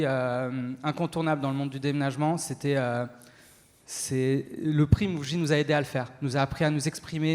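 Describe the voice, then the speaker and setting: Male entrepreneur as event guest, halting delivery, long hall reverb. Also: on the high side. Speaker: entrepreneur being interviewed on stage. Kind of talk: spoken testimony at a business talk event.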